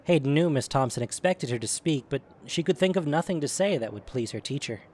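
A man's voice: fairly high pitch, animated, brisk, darkish tone, a little hiss or hum. A man narrating a scripted audio drama as a storyteller.

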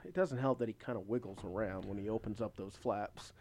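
A man co-hosting a podcast, chatting casually through a recap in an informal, halting way as he searches for words. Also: lowish voice, a little mellow, dry recording. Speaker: podcast co-host. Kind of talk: casual chat, episode recap.

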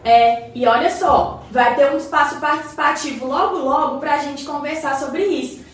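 A woman's voice: high voice, normal speed, natural voice. A woman with a Brazilian accent acting a patient, knowledgeable community member in an educational radio play, explaining in a colloquial way. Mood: informative. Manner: didactic, colloquial. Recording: roomy echo, distant mic, faint noise.

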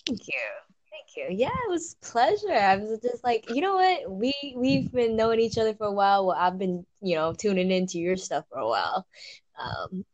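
A woman guesting on a podcast, chatting casually in a lively way and laughing easily. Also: mid-range voice, moderate pace, dry recording, highs cut off.

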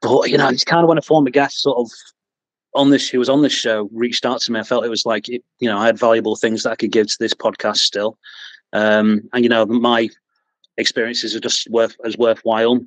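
A man's voice: mid-range voice, little pitch movement, a little fast, dry recording. A man with a Mancunian accent, chatting as a podcast guest, hesitant with lots of ums and restarts, chuckling at himself. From England. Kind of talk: casual interview chat.

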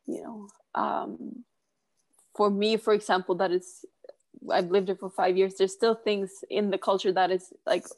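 A woman's voice: mid-range voice, little pitch movement, unhurried, a little mellow. A young woman whose first language is Swedish, a chef chatting as an interview guest in a hesitant way with many fillers. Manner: hesitant, frequent "you know" fillers. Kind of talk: casual interview chat.

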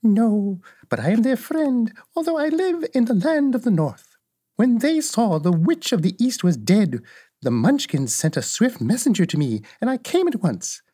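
A reader putting on a sweet, calm little old witch. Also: normal speed, breathy edge. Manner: high, sweet, gently cheerful.

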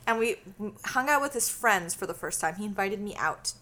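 A woman's voice: mid-range voice, expressive, moderate pace, pushed and bright. A woman in her twenties, co-hosting a podcast and telling stories in a chatty, giggly way. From Canada. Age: 20s. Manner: giggly, chatty storyteller with frequent laughs. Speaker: podcast co-host. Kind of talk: casual chat.

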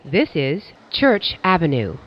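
Robotic-sounding train announcer, flat steady delivery, kinda dull lo-fi audio. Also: medium pitch, no room sound. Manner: flat, measured, evenly paced phrasing. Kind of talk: automated transit announcements.

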